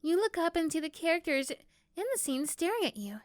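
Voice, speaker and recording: A woman's voice: very high voice, sing-song, fairly quick, no echo. A woman reading scripted second-person story narration for a roleplay. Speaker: narrator and performer.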